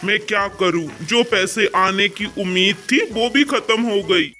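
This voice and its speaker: A man's voice: high voice, a little slow. A man playing a humble, worried pigeon father and farmer in a cartoon, earnest and pleading. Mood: distressed. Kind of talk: cartoon character acting.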